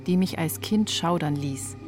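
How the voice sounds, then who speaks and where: A woman's voice: low voice, unhurried, loud music behind the voice. A woman reporting, reading scripted narration for a radio feature.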